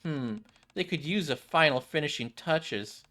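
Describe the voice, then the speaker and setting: Poised woman designer in a game, read by a man, bright voice, polite, measured and encouraging. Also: a little high, expressive. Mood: kindly, approving.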